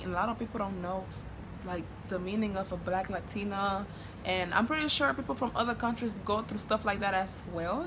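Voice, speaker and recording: A woman's voice: medium pitch, noisy background. A young woman from Honduras with a Spanish accent, chatting casually to her camera with lots of fillers and restarts. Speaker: vlogger.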